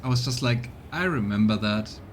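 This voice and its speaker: A man's voice: lowish voice, animated, moderate pace, a little hiss or hum. A man doing casual let's play commentary, chatting as he thinks aloud and reads game text. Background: faint game music.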